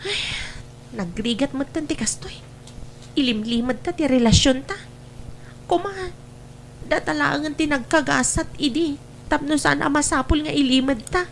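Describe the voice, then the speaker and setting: A woman's voice: high voice, normal speed, vocal fry, long pauses, a little hiss or hum. A woman with an Ilocano Filipino accent acting a pleading, clingy secret lover in an audio drama. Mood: pleading, affectionate. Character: pleading, clingy secret sweetheart of a married man.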